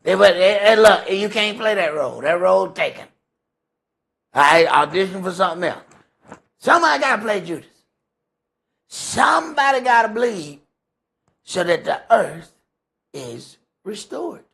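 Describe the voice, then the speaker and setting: An American man leading a Bible study, preaching off the cuff in an emphatic way with repeated phrases and rhetorical questions, his voice rough and raspy. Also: high voice, sing-song, measured pace, pauses often, a bit bright. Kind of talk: sermon, extemporaneous teaching.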